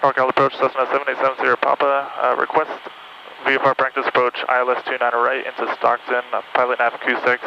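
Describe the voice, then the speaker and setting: A man's voice: mid-range voice, completely flat delivery, fast, gravelly. A man piloting a small plane, speaking procedurally in clipped phrases into an aviation headset. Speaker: pilot flying practice approaches. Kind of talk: radio calls and casual cockpit chat. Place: small aircraft cockpit in flight. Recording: dull cockpit intercom audio, faint engine noise.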